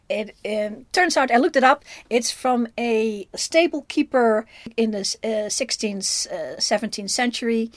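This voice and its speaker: A woman with a Dutch accent giving a casual film review, speaking haltingly as she thinks aloud. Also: mid-range voice, dry recording. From Netherlands.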